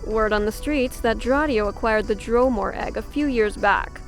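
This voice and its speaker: An actress in an audio drama playing a tough, sardonic, no-nonsense police officer who speaks curtly and bossily. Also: female voice, on the high side, moderate pace, soft background music, close to an everyday voice. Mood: probing, hard. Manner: curt, sardonic, bossy.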